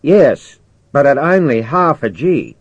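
Man voicing a commanding spaceship skipper, raised pitch. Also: sing-song, takes it slowly, slightly rough, no echo, lo-fi sound, full character voice. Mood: firm, reassuring. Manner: persuasive, commanding. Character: brisk, assured male spaceship commander giving orders. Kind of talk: audiobook character dialogue.

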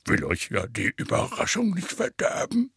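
A man voicing a big, good-natured half-giant in an audiobook, with a gravelly, raspy voice and a hesitant, colloquial drawl. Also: lowish voice, huge pitch swings, normal speed, a bit bright, dry recording, studio quality, exaggerated voice. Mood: gleeful. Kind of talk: audiobook character voice.

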